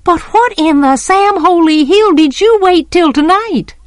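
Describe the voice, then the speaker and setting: A woman's voice: extremely high pitch, expressive, a little slow, dry recording, studio quality, pitched above the performer's own voice. A woman voicing a feisty, questioning little tomboy girl in an audiobook. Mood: indignant, pleading. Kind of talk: audiobook character voice.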